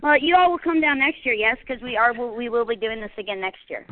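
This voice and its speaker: A woman's voice: fairly high pitch, animated, fast talker, slight background noise. A woman from Cincinnati, Ohio, chatting playfully as a guest on a fan podcast. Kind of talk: casual chat over a call. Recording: tinny phone-like line.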